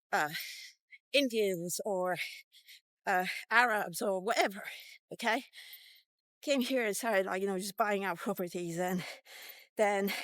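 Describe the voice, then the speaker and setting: A woman's voice: mid-range voice, a bit bright, no room sound. A woman in her fifties giving a casual, blunt, sweary and rambling vlog rant. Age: 50s. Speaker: vlogger. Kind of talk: casual rant to camera while walking.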